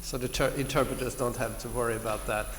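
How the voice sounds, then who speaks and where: A man with a Swedish accent, a regional delegate, speaking deliberately and spontaneously at a meeting in a conference hall. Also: medium pitch, steady tone, a bit bright, slight background noise. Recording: echoey hall with long reverb.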